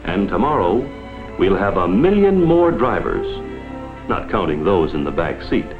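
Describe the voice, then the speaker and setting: A man's voice: low voice, big pitch swings, unhurried, music in the background, exaggerated voice. An American man delivering scripted 1950s promotional film narration in an earnest, oratorical, dramatic manner. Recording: vintage 1950s film soundtrack, muffled.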